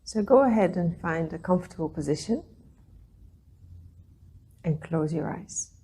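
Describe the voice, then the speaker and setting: A woman leading a guided meditation, speaking in a soothing, gentle way with long pauses between phrases. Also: lowish voice, expressive, breathy edge. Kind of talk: guided meditation, calm instruction.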